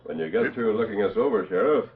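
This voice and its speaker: An American man acting a calm, authoritative masked cowboy hero in a 1940s radio western. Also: on the low side, quick pace, husky. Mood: calm, assured. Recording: muffled vintage 1940s radio recording.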